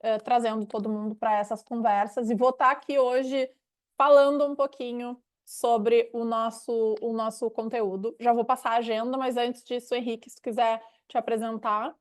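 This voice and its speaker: A woman's voice: on the high side, steady tone, normal speed. A Brazilian woman with a gaúcho accent hosting a webinar, talking in a conversational, explanatory way. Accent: gaúcho Brazilian Portuguese. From Rio Grande do Sul, Brazil. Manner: didactic, explanatory. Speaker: webinar host, director of a knowledge unit. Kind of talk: conversational presentation.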